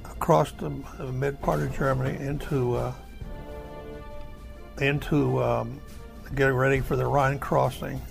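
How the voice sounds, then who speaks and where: A man's voice: medium pitch, creaky, poor audio. An elderly American man, a war veteran, recounting his memories in a plain, folksy, matter-of-fact way. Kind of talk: oral history recollection. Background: music.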